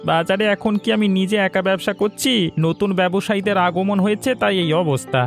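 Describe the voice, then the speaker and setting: A man's voice: sits high, fairly even intonation, moderate pace, soft background music. A man voicing a disgruntled, conniving village trader in a cartoon story. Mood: complaining. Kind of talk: cartoon voice acting.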